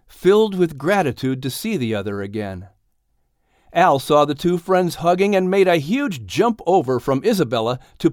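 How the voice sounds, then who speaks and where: Animated male storybook narrator. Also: fairly high pitch, no room sound, studio quality. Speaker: audiobook narrator.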